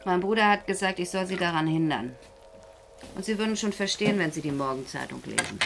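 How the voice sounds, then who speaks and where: A woman's voice: lowish voice, expressive, fairly quick, gravelly, fairly bright tone, faint music behind. An actress in a German radio play as a guarded, plain-spoken woman who answers curtly and matter-of-factly. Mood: dry. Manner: curt, matter-of-fact.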